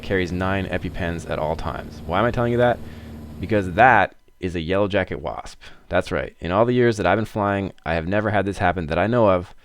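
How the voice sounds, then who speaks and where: A man's voice: low-pitched, a little hiss or hum. A man narrating a vlog as a pilot, chatty, informal and self-deprecating. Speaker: private pilot and video host.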